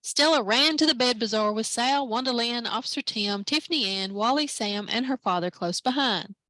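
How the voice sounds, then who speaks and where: A woman librarian reading a children's picture book aloud at story time, lively and expressive. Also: on the high side, moderate pace, dry recording, low-quality recording. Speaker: library branch manager, story time reader.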